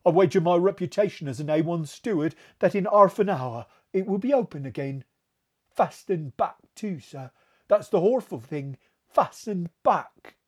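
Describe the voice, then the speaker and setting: A man's voice: high-pitched, expressive, moderate pace, slightly rough, pitched above the performer's own voice. A man reading an audiobook, voicing a nervous, servile male ship's steward with a raised, fluttery voice. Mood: eager. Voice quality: raised, fluttery, dropped and added h's.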